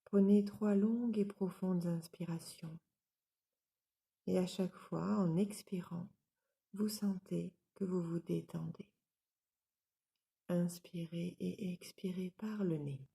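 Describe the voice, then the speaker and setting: A woman leading a guided yoga nidra relaxation as an instructor, giving calm, soothing, measured instructions. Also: on the low side, gravelly.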